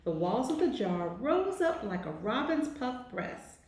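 A woman in her forties reading a children's picture book aloud with deliberate, expressive phrasing in an office. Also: on the high side. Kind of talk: children's storybook read-aloud. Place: small indoor office. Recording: very echoey, roomy sound.

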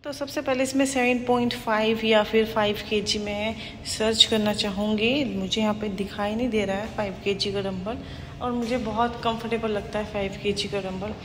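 A woman's voice: mid-range voice, steady tone, normal speed, fairly bright tone. A woman in her thirties filming a casual workout vlog on her phone in an empty hotel gym, explaining exercises in a chatty, instructive way and mixing English words into her talk. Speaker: fitness vlogger. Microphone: phone camera, handheld and propped. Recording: echoey gym hall with noise.

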